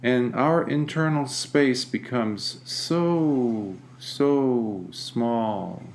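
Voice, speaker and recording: A man leading a guided meditation as a teacher, speaking gently and deliberately with long pauses. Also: medium pitch, a little hiss or hum.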